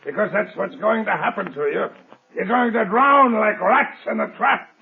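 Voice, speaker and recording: An actor playing a ruthless, gloating male swindler in a 1930s radio drama, with a gravelly, sneering voice. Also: high-pitched, animated, moderate pace, over a noisy crowd. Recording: tinny, echoey old 1930s radio broadcast.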